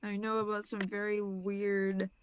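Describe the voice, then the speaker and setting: A woman's voice: mid-range voice, flat delivery, unhurried, slight background noise. A woman chatting casually in a group of friends.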